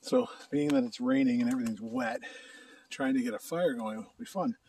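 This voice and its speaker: A man narrating casually while camping outdoors in the rain, relaxed and laughing easily. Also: medium pitch, brisk, vocal fry. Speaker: outdoor vlogger. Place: rainy forest and lakeshore outdoors. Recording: outdoor camera audio from a distance, faint noise.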